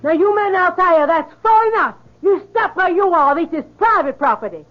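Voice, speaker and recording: Feisty southern country lady, high voice, stubborn n blunt, muffled old radio drama sound. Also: lively intonation, normal speed. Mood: defiant. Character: feisty, defiant Southern country woman with a rifle. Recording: dull old radio recording.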